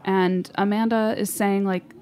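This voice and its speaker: A woman co-hosting a casual food show, enthusiastic and quick to laugh. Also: medium pitch, level tone, darkish tone. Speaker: podcast co-host. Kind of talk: casual chat.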